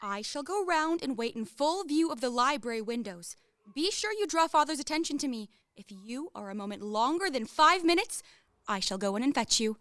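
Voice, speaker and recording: A woman plays a well-born young lady, happy and possessive of her betrothed. The voice is high, and the manner sweetly imperious, in radio play style. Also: animated, normal speed, a bit bright, no room sound. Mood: playfully imperious. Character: light-hearted, possessive young fiancée of high family.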